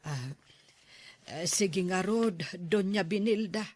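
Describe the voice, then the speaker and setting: Actress doing older motherly maid in radio drama, slow talker, humble n hesitant, dry mic. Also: mid-range voice, darkish tone, no echo. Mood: timid, deferential. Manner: hesitant, deferential. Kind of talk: Ilocano radio drama acting.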